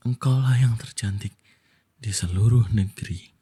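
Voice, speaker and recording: A man plays a magic mirror from a bedtime fairytale. It speaks in a solemn, measured way, soft and breathy with a dark, mellow tone. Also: on the low side. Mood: solemn praise. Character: calm, truthful magic mirror in a storybook.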